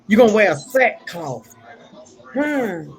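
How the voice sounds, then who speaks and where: A woman's voice: medium pitch, very animated, slow pace, soft background music. A woman hosting a talk show, telling stories and preaching scripture in a folksy, casual way.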